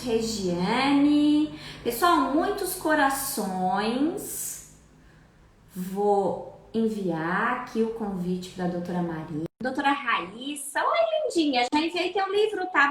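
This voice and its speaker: A Brazilian woman lawyer hosting a live stream, chatting and warmly greeting viewers by name. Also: a little high, very echoey.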